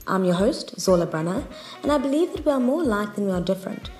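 A woman's voice: mid-range voice, very animated, fast, darkish tone. A woman reads her podcast's opening in an upbeat, inviting tone. The room sounds echoey and faint music runs underneath. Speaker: podcast host. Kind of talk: scripted show intro.

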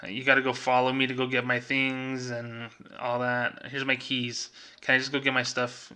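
A man's voice: mid-range voice, monotone, normal speed, bright, forceful tone, clean tone. A middle-aged man speaking as a vlogger, telling a personal story in a casual, conversational way and acting out remembered dialogue.

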